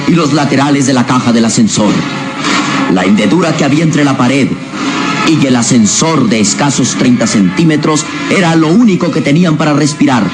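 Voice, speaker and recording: A man's voice: mid-range voice, fairly quick. A man narrating a scripted radio serial in a theatrical, suspenseful way. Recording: radio serial with music behind.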